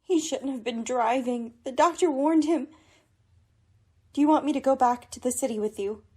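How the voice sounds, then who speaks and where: A woman's voice: high-pitched, normal speed, warm tone, slightly rough. An actress playing a guilt-ridden, anxious young woman in a radio drama, hesitant, earnest and troubled. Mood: remorseful. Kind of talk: old-time radio drama acting.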